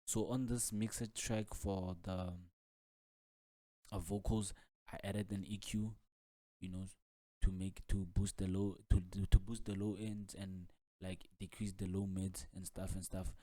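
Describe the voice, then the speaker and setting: A deep-voiced man talks through a music software tutorial in a relaxed, flat tone. He explains loosely and informally, often circling back over his words. The sound is close and dry. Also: a little slow, dry recording. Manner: casual, repetitive filler-heavy explaining with frequent "like" and "man".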